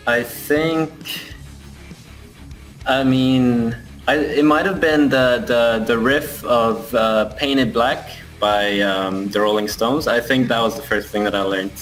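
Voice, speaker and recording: A man's voice: mid-range voice, a bit bright. A man, answering interview questions thoughtfully and with hesitation. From Finland. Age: 20s. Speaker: guitarist in a rock band.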